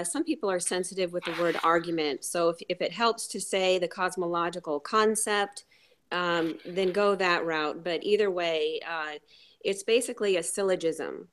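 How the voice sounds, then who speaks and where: Upbeat woman, lowish voice, lots of ums. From United States. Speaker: panel guest. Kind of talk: casual chat, explaining.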